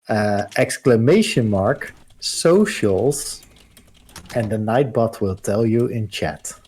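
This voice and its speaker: A man's voice: mid-range voice, warm tone. A man co-hosting a gaming livestream, talking casually and rambling with lots of fillers. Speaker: co-host.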